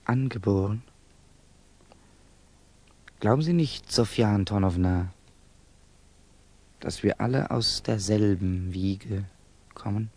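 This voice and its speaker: An actor playing a bitter, guarded, touchy young Russian student in a 1960s German radio drama, with clipped, defensive questioning. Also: male voice, on the low side, takes it slowly, pauses often, a little mellow.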